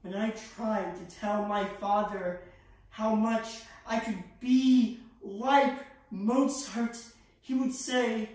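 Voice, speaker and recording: A woman's voice: mid-range voice, fairly even intonation, darkish tone, close to an everyday voice. Teen girl doing bitter old italian composer, pleading dramatically, super echoey and far from mic. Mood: fervent, reverent. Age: teenager. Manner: theatrical, slow, pleading and declaiming. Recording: echoey room, distant microphone.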